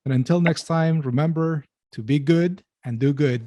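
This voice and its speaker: A man co-hosting a business podcast in casual chat, friendly and quick to joke. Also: on the high side, mellow, dry recording. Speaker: podcast co-host.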